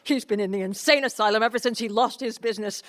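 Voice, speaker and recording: A woman's voice: fairly high pitch, quick pace, lightly acted. A woman acting in a radio play as a cold, suspicious older boarding-house keeper, curt and hostile. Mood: scornful.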